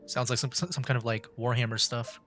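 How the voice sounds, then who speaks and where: A man's voice: mid-range voice, fairly even intonation, a little fast, a bit bright. A young man livestreaming a video game, giving casual commentary in hesitant, trailing phrases. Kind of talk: casual game commentary, reading dialogue choices aloud. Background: game music.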